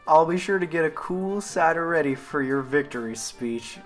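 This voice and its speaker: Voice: mid-range voice, lively intonation, moderate pace, soft background music, close to an everyday voice. A performer voicing a feisty, competitive country farm pony in a cartoon parody, with a folksy drawl and a sarcastic manner. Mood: taunting.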